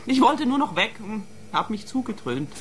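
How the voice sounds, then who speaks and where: A distraught young flatmate speaks in a halting, shaken way, her fairly high voice swinging widely in pitch. She stumbles over her words when she is frightened. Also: soft background music. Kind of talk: radio play acting.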